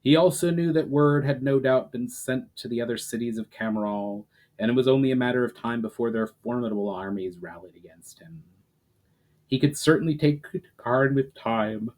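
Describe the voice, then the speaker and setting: A man reading fantasy fiction aloud as a dramatic, measured narration. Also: mid-range voice, a little mellow.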